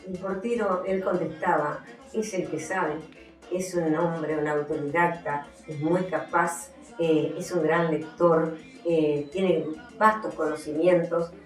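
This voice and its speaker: A woman with an Argentine accent presenting her books as an author, in a deliberate, explanatory way. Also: sits low, fairly even intonation, soft background music. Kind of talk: book presentation, explanatory talk with some reading. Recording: echoey, somewhat distant from the mic.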